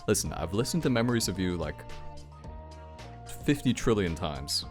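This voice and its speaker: A deep-voiced man reacts to game news in a loose, informal, rambling way. His pitch moves around in an animated fashion. Music plays clearly behind his commentary. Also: normal speed.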